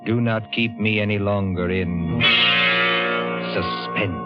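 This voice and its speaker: An ominous narrator of a forties radio thriller. His deep voice moves deliberately through each phrase. Music plays behind him on a dull, aged recording. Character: ominous storyteller of a suspense tale. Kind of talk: 1940s radio drama scripted narration.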